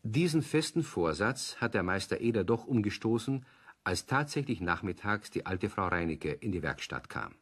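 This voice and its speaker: A man narrating a scripted German children's radio play as its storyteller, with a husky voice. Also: fairly low pitch, very animated, moderate pace, fairly bright tone.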